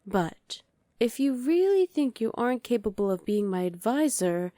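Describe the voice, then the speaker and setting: A woman's voice: on the high side, big pitch swings, normal speed, mellow, no echo, studio quality. A woman playing a dominant evil sorceress queen in an ASMR roleplay, commanding and condescending with cool menace. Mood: menacing, commanding. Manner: commanding, condescending, coolly threatening.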